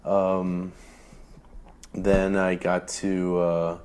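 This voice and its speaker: Low-voiced guy on a podcast, stuffy from a cold, rambly, lots of ums. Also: monotone, a little mellow, clean tone. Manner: rambling, halting with many fillers. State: congested from a cold. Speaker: podcast co-host. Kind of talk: casual chat.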